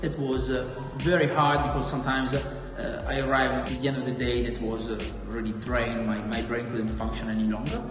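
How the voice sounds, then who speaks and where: A man's voice: mid-range voice, level tone, a little fast. Hesitant man in an echoey hall. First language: not English. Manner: hesitant, many fillers and restarts. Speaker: school staff member. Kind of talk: recorded assembly talk. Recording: echoey hall with music playing behind.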